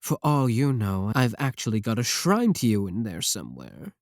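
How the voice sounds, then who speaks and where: A man's voice: on the low side, wildly swooping pitch, moderate pace, no room sound, studio quality. A man playing a clingy, possessive yandere VTuber, pleading defensively and intimately with a single viewer in an ASMR roleplay. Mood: obsessive, coaxing.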